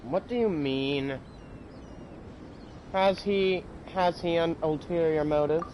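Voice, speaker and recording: A man's voice: a little high, slow pace, slight background noise. A man casually commentating a livestreamed video game, reacting and talking back to it as he plays. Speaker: game streamer. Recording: loud game audio behind voice. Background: game music and effects.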